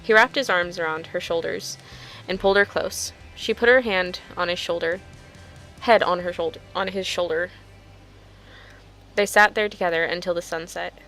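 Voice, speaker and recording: A woman's voice: lowish voice, steady tone, moderate pace, a bit bright, soft background music. A woman with an informal, self-deprecating manner, telling stories and chatting casually as an online video creator. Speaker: YouTuber reading own fanfiction. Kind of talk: casual storytelling and video chat.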